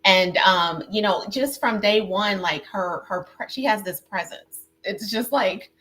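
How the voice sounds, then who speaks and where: A woman's voice: mid-range voice, unhurried, a bit bright, poor audio. A woman hosting a live online panel over a video call, effusive and chatty, with lots of fillers and repeats. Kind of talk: casual live-stream hosting.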